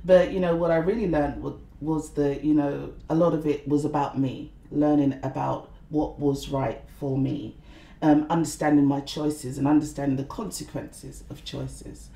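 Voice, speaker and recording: A middle-aged woman talks as an interview guest, earnest and reflective. Her voice is gravelly and mellow. The room around her sounds echoey. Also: low voice, fairly even intonation, moderate pace. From London, England. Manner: earnest, frequent "you know" fillers. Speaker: guest, blogger and conference organiser.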